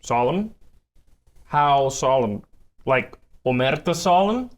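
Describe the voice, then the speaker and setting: A man's voice: mid-range voice, animated, slow. An actor at a table read playing a worried mobster who presses his boss with questions. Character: worried, pressing male mobster, the boss's confidant. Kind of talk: live script table reading.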